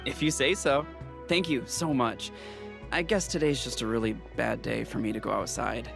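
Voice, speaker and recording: A man voicing a worried delivery man and father who rambles and trails off, confused, over soft game music. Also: mid-range voice, steady tone, moderate pace, gravelly, natural voice. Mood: weary.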